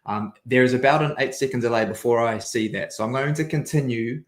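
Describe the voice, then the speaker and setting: A man's voice: mid-range voice, a little fast. A man with a New Zealand accent, a sales coach giving an upbeat, structured webinar presentation over video call. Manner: upbeat, structured, walks through an agenda. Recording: echoey room audio.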